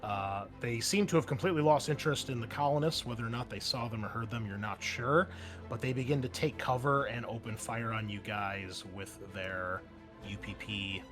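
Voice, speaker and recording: A man's voice: mid-range voice, fairly bright tone. Casual male game master over music. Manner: informal, wry asides, swears casually. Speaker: game master and host of an actual-play show. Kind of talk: casual tabletop game narration. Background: background music.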